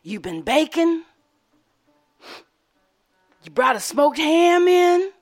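A woman voicing a jovial, burly old husband who speaks in a hearty, booming way. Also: big pitch swings, takes it slowly, soft background music, exaggerated voice.

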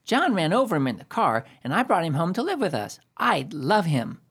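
A woman playing a stubborn, excitable ten-year-old boy in a radio play, insistent and childlike. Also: lowish voice, moderate pace, slightly rough.

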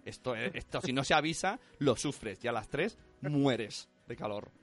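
A man's voice: medium pitch, animated, normal speed, a bit bright, faint music behind. A man hosting a casual podcast, chatty and handing out practical tips. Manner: chatty, gives tips, puts on voices in anecdotes. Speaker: podcast host.

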